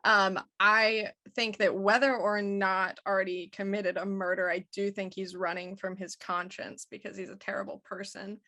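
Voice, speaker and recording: Wry, mid-pitched woman chatting casually. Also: flat delivery, normal speed, few pauses, sharp tone. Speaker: actor guest on cast panel. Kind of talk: casual chat.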